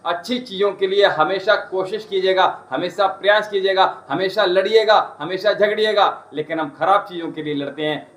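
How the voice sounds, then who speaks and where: A man's voice: sits high, a bit bright, roomy sound. A man teaching yoga and ayurveda on a live stream, earnestly urging listeners with repeated polite requests, with a western Uttar Pradesh Hindi accent. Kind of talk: live-streamed spiritual health talk.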